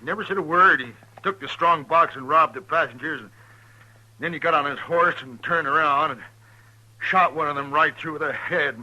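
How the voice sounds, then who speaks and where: An actor playing a shaken, indignant frontier stagecoach driver, rustic and emphatic, in 1950s radio drama style. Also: male voice, a little high, moderate pace, low-quality recording. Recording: bright 1950s radio recording.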